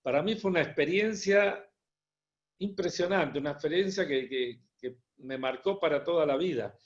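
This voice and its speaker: A man's voice: a little high, moderate pace, dull audio. A man, a rabbi, recounting his experiences hesitantly and with frequent restarts on a video call. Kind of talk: personal testimony at an online gathering.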